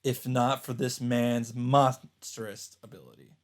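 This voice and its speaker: A man's voice: medium pitch, flat delivery, slow pace. A man hosting a let's play, reading visual novel narration aloud. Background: game music.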